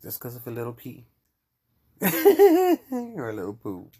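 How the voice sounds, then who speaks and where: A man's voice: medium pitch, wildly swooping pitch, measured pace, dark tone, breathy edge. A man with a Mexican accent, chatting casually on his show and rambling to listeners. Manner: rambling, addresses listeners directly. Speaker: podcast host. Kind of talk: casual chat.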